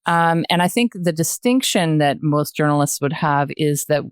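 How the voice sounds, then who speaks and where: An older woman with a Canadian accent, a guest speaking reflectively in a podcast interview, hesitant and thoughtful, often pausing mid-sentence. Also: deep voice, lively intonation, clean tone, dry recording, clean studio sound. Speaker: podcast guest, retired journalist.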